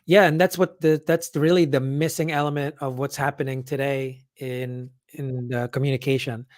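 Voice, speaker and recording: Guy with a shot hoarse voice, chatty and rambly, mid pitch, fillers everywhere. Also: little pitch movement, moderate pace, no room sound, clean studio sound. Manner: rambling, many fillers and restarts, reads out comments. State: hoarse, losing his voice. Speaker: event organizer hosting a live update. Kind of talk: casual livestream Q&A.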